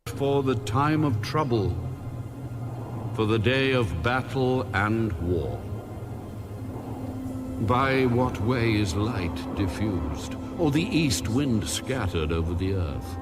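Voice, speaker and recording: Slow, solemn man reading scripture over music. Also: on the low side, lively intonation. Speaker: audio Bible narrator. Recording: voice under loud music. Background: loud background music.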